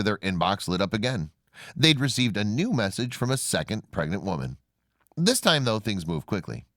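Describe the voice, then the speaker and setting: A man's voice: on the low side, fairly bright tone, no room sound, clean studio sound. A man narrating a scripted human-interest story with a polished, dramatic storytelling delivery.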